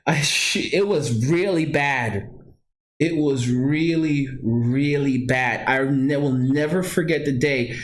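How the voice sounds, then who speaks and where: Earnest man with a high-ish voice. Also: slow. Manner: earnest, emphatic, repeats himself, talks straight to viewers; frequent restarts and self-corrections. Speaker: vlogger. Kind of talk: personal storytelling to camera.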